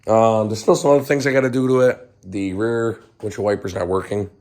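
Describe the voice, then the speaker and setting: Hoarse man with laryngitis, rambling. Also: fairly low pitch, fairly even intonation, a little fast, a little mellow. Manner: rambling, frequent ums and coughs. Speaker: channel host, car restorer. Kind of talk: casual vlog update.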